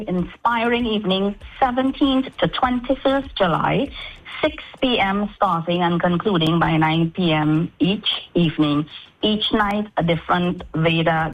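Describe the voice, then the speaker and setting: A woman with a Trinidadian accent and a slightly low voice speaks as a radio guest. Her phrasing is formal and articulate, like a prepared announcement. The sound is somewhat dull and lo-fi. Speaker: guest spokesperson for an event.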